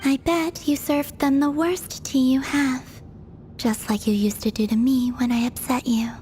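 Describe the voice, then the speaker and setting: An actress playing a serene, weary, centuries-old moon goddess in a video game, speaking softly in a composed, formal way. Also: female voice, high-pitched, steady tone, measured pace, soft background music. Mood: gently probing.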